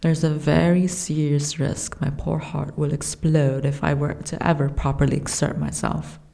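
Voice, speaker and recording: Gentle giantess princess acted by a woman, low mellow voice, light creak, courtly wording, wistful, soft ASMR fantasy roleplay. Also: little pitch movement, normal speed. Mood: weary, wistful. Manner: formal, archaic, genteel phrasing.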